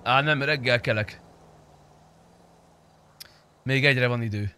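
A man's voice: medium pitch, bright, forceful tone, smooth. A young adult man chatting casually on a close microphone while streaming a game. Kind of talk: casual livestream chat while gaming.

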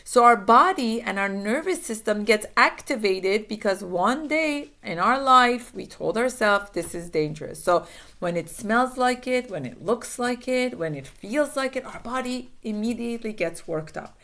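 A woman's voice: on the high side, lively intonation, unhurried, bright, forceful tone. Earnest woman, native Farsi speaker. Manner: earnest, repeats phrases, gestures a lot. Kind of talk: self-help talk to camera. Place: small indoor room.

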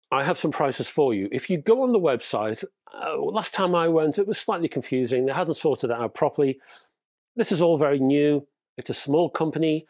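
A man's voice: mid-range voice, darkish tone, dry recording. Stuffy-nosed man in his fifties, casual wry reviewer, dry close mic. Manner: chatty, wry, emphatic, leans on key words. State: stuffy nose, wheezy from a cold. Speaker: hi-fi reviewer, channel host. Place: home room lined with record shelves. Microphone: lapel mic.

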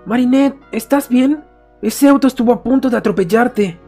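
A man's voice: very high voice, normal speed, mellow, faint music behind. A man with a Latin American Spanish accent voicing a kind, concerned teenage boy in a fan comic dub.

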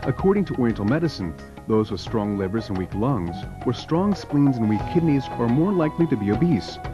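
A man's voice: mid-range voice, sing-song, extremely slow. A man narrating a scripted documentary as its narrator. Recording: dull lo-fi video soundtrack. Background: background music.

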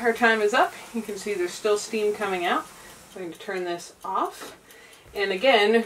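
An American woman in her thirties giving a casual, chatty kitchen demonstration with rambling asides. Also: medium pitch. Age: 30s. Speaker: vlogger demonstrating home canning. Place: small home kitchen. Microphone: camera a few feet away. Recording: distant camera mic, clearly noisy room.

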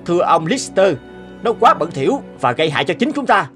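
Indignant, high-strung senior surgeon. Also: male voice, sing-song, a little fast, over music. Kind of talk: cartoon dubbing.

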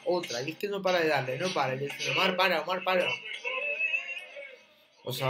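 A young man hosting a reaction video, mocking with loud repeated exclamations as he comments on clips from his room. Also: a little high.

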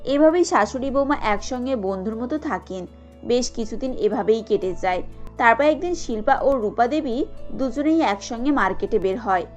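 A female narrator with a high voice tells a scripted domestic story. Music plays behind her. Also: lively intonation, moderate pace, dull audio.

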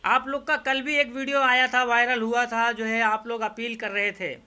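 A man's voice: very high-pitched, flat delivery, moderate pace, sharp tone, clear, resonant tone. A man reporting on location into a handheld microphone, interviewing and talking to camera with repetitive phrasing. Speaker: field reporter. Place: small crowded indoor office.

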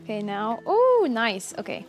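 A woman's voice: on the high side, huge pitch swings, measured pace. A woman in her twenties chatting casually while streaming a game, teasing and playfully sarcastic. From France. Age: 20s. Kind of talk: casual live gameplay chat. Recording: stream voice over game music.

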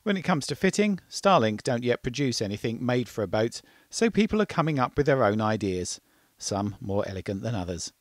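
A man in his fifties talks through a demonstration in an informal vlogging style. His voice sits at an average male pitch and is recorded dry on a lapel mic. He explains things casually, as if working them out aloud. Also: very animated, brisk, no room sound. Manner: informal, hesitant thinking aloud. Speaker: sailing vlogger.

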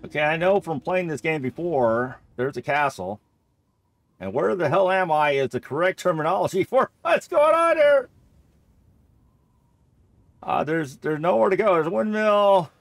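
Man, higher-pitched, gravelly creak, casual gameplay commentary, rambling, jokey, repetitive. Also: sing-song, normal speed, pauses often, no room sound. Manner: rambling, jokey, repeats himself. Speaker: gamer narrating a playthrough.

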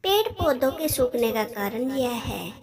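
Woman as a high-voiced benevolent pond goddess. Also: very animated, a little slow, crowd noise, full character voice. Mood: solemn, reassuring. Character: benevolent female pond goddess, calm and commanding.

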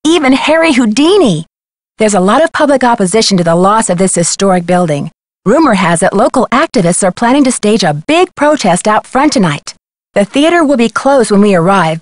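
A woman acts as a level-headed teenage girl sleuth from a mystery game. She speaks at a middling pitch in a composed, conversational manner. The recording is dry and close, with no room sound. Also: dry recording, natural voice. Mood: chatty. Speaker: video game voice actor. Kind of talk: scripted game dialogue and letter narration.

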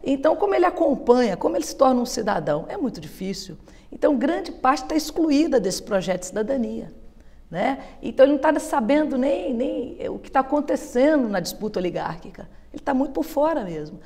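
A woman's voice: high voice, a little fast. Woman, 50s, historian guest; fluent, explanatory; lapel mic, studio reverb. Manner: steady, fluent, explanatory, with frequent tag questions. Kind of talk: explanatory interview answers. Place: television studio.

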